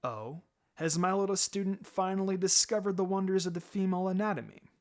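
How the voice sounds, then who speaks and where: A man voicing a laid-back adult male ninja mentor in a fan fiction reading, wry and gently sombre, speaking in a measured, low-key way. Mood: wry, teasing. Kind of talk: fan fiction audiobook character acting.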